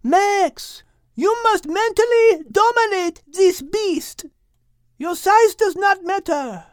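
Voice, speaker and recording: A man's voice: very high voice, slow, no echo, studio quality, pitched above the performer's own voice. A man voicing a poised, clever female cat giving precise, imperious instructions in an audiobook. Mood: urgent, instructing. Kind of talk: audiobook character acting.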